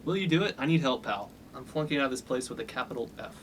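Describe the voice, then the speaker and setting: A man's voice: medium pitch, expressive, fast, a little hiss or hum, lightly acted. A man playing a cocky, blunt young fraternity upperclassman with a chip on his shoulder in a comic radio play. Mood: pleading. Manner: blunt, slangy. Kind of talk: 1950s-set comic radio play acting.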